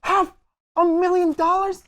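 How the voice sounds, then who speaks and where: A man's voice: extremely high pitch, level tone, measured pace, pushed and bright, heavily acted. A man playing an anxious, incredulous young nephew in a comedy table read, nervous and exclaiming. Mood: shocked.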